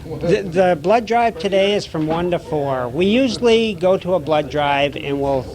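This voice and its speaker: A man who works at a blood bank, answering interview questions hesitantly and filling pauses with many uhs. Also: fairly high pitch, expressive. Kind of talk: casual interview. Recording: chatter from a busy crowd behind.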